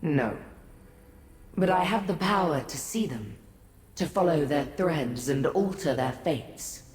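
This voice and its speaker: Slow, low godlike woman, echoing. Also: a little mellow. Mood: aloof, intrigued. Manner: measured, formal, condescending to mortals. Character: ancient aloof female godlike being, cryptic and haughty. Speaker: video game character. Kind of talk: scripted fantasy game dialogue. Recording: echoey, long reverb.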